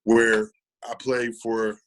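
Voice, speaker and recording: A man's voice: mid-range voice, completely flat delivery, slow. Earnest American man telling personal stories. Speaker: podcast guest. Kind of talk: casual conversational storytelling.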